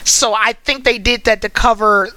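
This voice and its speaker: A bright, crisp-edged host voice in casual conversation at a middling pitch. The delivery is that of an animated, wry storyteller walking through a series and picking at it. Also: normal speed, no room sound. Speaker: host of an anime commentary stream. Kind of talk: casual chat recapping and critiquing a cartoon series.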